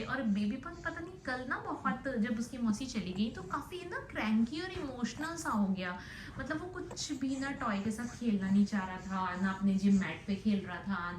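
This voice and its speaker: A young woman telling about her day in a casual, rambling audio diary, conversational and mixing in English words. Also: on the high side. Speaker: vlogger. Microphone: phone set down nearby. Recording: roomy echo with background noise.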